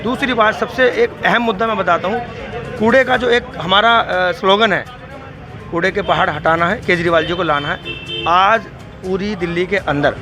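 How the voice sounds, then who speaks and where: A man's voice: high-pitched, normal speed, over music, crowd noise. A man, a party worker, speaking confidently and chanting slogans in a street interview.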